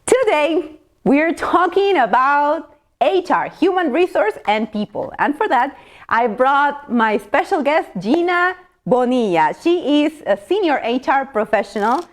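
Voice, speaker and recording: A woman's voice: sits high, expressive, unhurried. A woman hosting an interview, asking questions and giving short affirmations. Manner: asks questions, brief affirmations. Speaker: host. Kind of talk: interview host.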